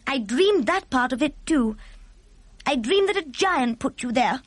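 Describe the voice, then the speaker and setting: A regal storybook Queen, played by a woman reader. Her voice sits high and she speaks in clipped, imperious phrases, sharp and commanding, yet poised. The sound is close and dry. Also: expressive, measured pace, no room sound. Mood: composed, curious.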